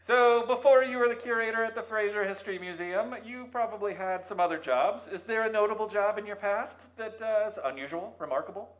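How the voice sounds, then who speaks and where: A man's voice: sits high, a little fast, few pauses. A man hosting a playful interview in a jokey manner, calling out through a megaphone in an echoey room. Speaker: host, museum staff.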